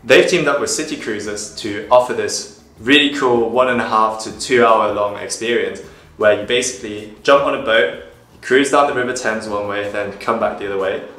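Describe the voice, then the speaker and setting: A young man vlogging to camera from home, casually explaining photography step by step in a relaxed, chatty way. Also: on the low side, moderate pace. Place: small room at home, indoors. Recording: echoey room.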